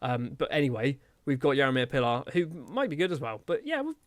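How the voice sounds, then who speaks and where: A man's voice: medium pitch, animated, brisk, no room sound. A man giving casual video game commentary as a host, chatty and rambling, often questioning himself as he goes.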